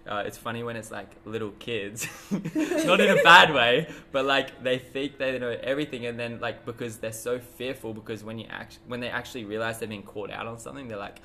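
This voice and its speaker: A man hosting a podcast in casual chat, chatty and quick to giggle. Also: mid-range voice, vocal fry, sharp tone, a little hiss or hum. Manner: giggly, chatty, laughs often. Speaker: podcast host.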